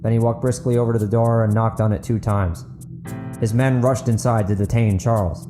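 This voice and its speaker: Lowish-voiced male narrator over guitar music. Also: steady tone, a little fast. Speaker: podcast host and narrator. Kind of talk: audiobook narration and podcast outro.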